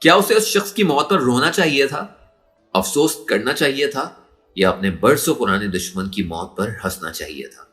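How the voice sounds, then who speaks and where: A man's voice: mid-range voice, animated, normal speed, fairly bright tone, faint music behind. A man narrating a story in a dramatic, emotive and suspenseful way. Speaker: audiobook narrator.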